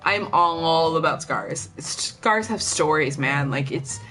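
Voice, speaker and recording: A woman's voice: expressive, a little slow. A young woman chatting casually on a live stream, blunt and profane, rambling with lots of filler words. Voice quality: lower voice than most women. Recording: faint music behind. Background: faint music.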